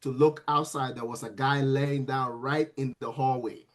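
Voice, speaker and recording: A man, a nurse guesting on a podcast, telling his story in a lively way with frequent restarts. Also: mid-range voice, normal speed. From Sierra Leone. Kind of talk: casual storytelling interview.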